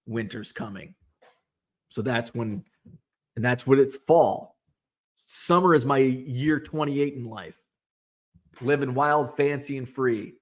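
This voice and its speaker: A man's voice: mid-range voice, takes it slowly, long pauses. A man in his forties, a sports card seller hosting his own show, thinking aloud in casual, rambling solo talk full of restarts.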